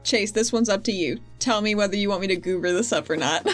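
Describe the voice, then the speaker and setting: A woman's voice: mid-range voice, fast, soft background music. A woman chatting casually and playfully as a player in a tabletop game.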